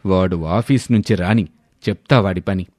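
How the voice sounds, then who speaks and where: A man's voice: sits low, moderate pace, darkish tone, dry recording, studio quality, voice pushed down. A man voicing a stern, indignant father of grown children in a family story. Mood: angry.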